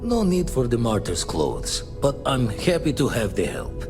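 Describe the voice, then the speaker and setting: An actor playing a gruff, street-smart spacefaring ex-pirate in a video game, talking in clipped, folksy slang. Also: male voice, medium pitch, sing-song, measured pace, music in the background. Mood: businesslike.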